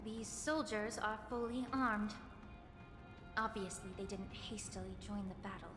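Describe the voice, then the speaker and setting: An actress voicing a composed, sharp-witted female official in a video game, speaking in a measured, formal, analytical way. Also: mid-range voice, close to an everyday voice. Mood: suspicious, analytical. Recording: echoey hall reverb, music behind.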